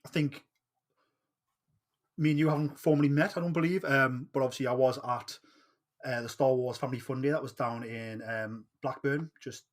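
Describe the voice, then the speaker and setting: A man's voice: medium pitch, fairly even intonation, moderate pace. A man with a Geordie accent hosting a fan podcast in casual, upbeat chat, with frequent fillers and restarts. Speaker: podcast host.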